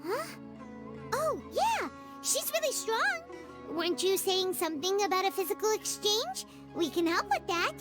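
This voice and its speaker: A woman voicing a tiny, excitable, cheeky fairy companion in a video game, with a squeaky childlike voice. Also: unhurried, full character voice. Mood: eager, persuasive. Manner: bubbly, exclamatory, refers to herself by name. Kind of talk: English video game voice acting. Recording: studio voice under game music. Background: game background music.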